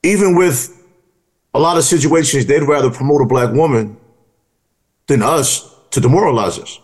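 A man's voice: medium pitch, moderate pace. A man co-hosting a podcast, arguing his points firmly in casual conversation. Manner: argumentative, stresses points firmly. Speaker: podcast co-host.

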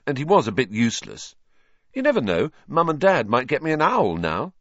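A man's voice: mid-range voice, wildly swooping pitch, fairly quick, fairly bright tone, dry recording, dull audio, pitched above the performer's own voice. A man voicing a loyal, fiery, slightly awkward teenage boy with an earnest, blunt manner in an audiobook. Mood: relieved, awkward.

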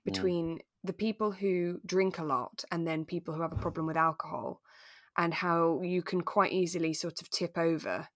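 Woman, lowish voice, dry close sound, relaxed podcast discussion, thoughtful explaining. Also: normal speed, dry recording. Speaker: podcast co-host. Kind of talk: relaxed conversational discussion of a radio drama.

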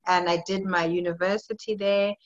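A woman's voice: lowish voice, steady tone, moderate pace, muffled recording. A woman in her late thirties from Zimbabwe, a fitness coach answering interview questions in a friendly, thoughtful way with some hesitation. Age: 30s.

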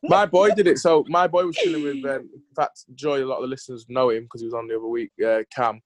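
A man's voice: mid-range voice, lively intonation, quick pace, no echo, rough recording. Dry-sounding laid-back male podcast host. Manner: laid-back banter with slang. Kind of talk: casual chat.

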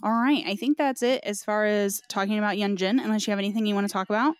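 A woman's voice: mid-range voice, quick pace, clear, resonant tone, dry recording, clean studio sound. A woman podcast host chatting casually in an earnest, effusive and supportive way. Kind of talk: casual chat.

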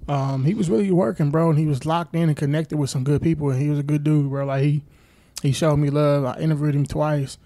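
Higher-voiced American man hosting an interview. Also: steady tone, brisk, mellow, dry recording. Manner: informal, slangy, conversational. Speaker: interviewer, show host. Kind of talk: casual interview.

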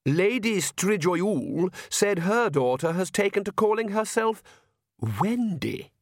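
Man putting on a high, genteel vampire countess. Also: lively intonation, slow, no echo, studio quality, exaggerated voice. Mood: disdainful. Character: genteel, anxious vampire wife and mother, politely disdainful.

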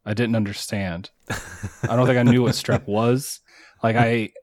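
Man with a deep post-cold voice. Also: fairly even intonation, unhurried, darkish tone, no echo, studio quality. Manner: rambling storyteller, chuckles often. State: recovering from chest cold, deep voice. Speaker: podcast co-host. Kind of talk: casual chat.

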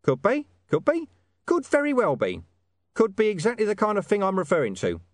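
A man's voice: sits high, wildly swooping pitch, a little fast, no room sound, voice pushed up. A man voicing a shady, streetwise talking dog who poses as a mysterious informant in an audiobook story. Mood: wheedling, evasive. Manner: streetwise, evasive, menacing slang.

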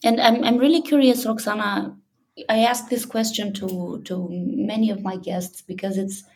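A woman hosting a podcast, introducing her guest warmly and asking questions in a friendly, effusive way. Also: mid-range voice, slightly rough. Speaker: podcast host. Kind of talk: interview hosting.

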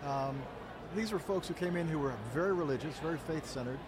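A man's voice: on the high side, noisy background. A man hosting an interview, asking questions with frequent fillers and restarts. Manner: measured, halting with fillers. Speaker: guest host. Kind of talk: conversational interview. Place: outdoor event.

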